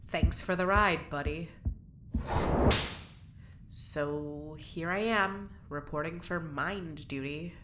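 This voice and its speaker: A surly prisoner in an audio drama, lowish and forceful in voice. She is curt and sardonic, with faint ambient noise around her. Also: slow, long pauses, full character voice. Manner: sarcastic, curt. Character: surly, sardonic female prisoner, grudgingly cooperative. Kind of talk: scripted audio drama acting.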